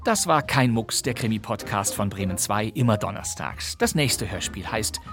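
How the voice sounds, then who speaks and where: A man with a husky voice hosting a podcast, reading a scripted presentation of radio plays. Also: lowish voice, expressive, moderate pace, music in the background. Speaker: podcast host.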